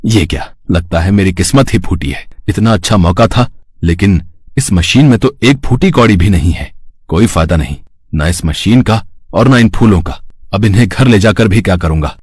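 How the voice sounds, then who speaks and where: A man playing a lazy, greedy village flower seller, theatrical and excitable with a husky voice, in a children's moral story. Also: low voice, moderate pace, dry recording. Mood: grumbling, defeated. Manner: theatrical, stammering when startled, exclaiming. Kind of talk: animated moral story dialogue.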